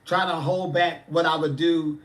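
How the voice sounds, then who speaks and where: A man's voice: fairly high pitch, fairly even intonation, moderate pace, fairly bright tone. A man, chatting casually on a livestream and answering viewers' comments with sass. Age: 40s. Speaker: livestream host.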